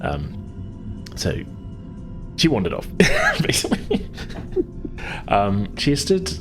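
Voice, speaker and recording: A man improvising as game master in a tabletop game, explaining things in a halting, chatty way. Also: mid-range voice, wildly swooping pitch, fairly bright tone. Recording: music playing behind the voice. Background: background music.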